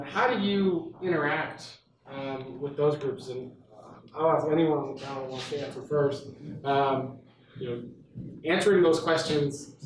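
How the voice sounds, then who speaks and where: A man with a big, projecting voice moderates a panel in a large event room. He talks loudly, leaning on ums and restarts. The sound is very echoey and caught from a distance. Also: a little high, slight background noise. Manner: loud projecting voice with many fillers. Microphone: handheld mic.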